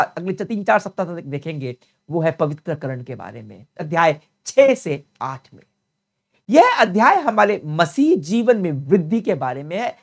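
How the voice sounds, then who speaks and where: An older man teaching a Bible study with a sore throat, earnest and explanatory, repeating key terms, speaking into a lapel mic in a study. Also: sits high.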